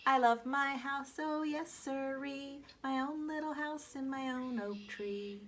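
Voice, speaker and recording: A woman's voice: sits high, takes it slowly, pitched above the performer's own voice. A woman voicing a cheerful, house-proud little female squirrel from a storybook, in a singsong, genteel way. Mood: cheerful singing. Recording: background noise from reading outdoors.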